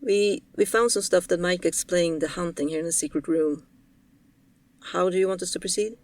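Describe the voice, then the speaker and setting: A woman's voice: lowish voice, normal speed, a little mellow, far from the mic, close to an everyday voice. A woman playing a laid-back ghost hunter in an audio roleplay, talking casually and offhandedly to a rookie. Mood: dutiful. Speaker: paranormal investigator character.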